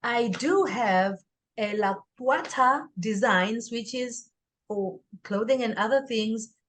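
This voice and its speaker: A woman's voice: fairly high pitch, slow. A woman speaking as an interview guest, a life coach and author, in an earnest, motivational way. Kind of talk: interview answer.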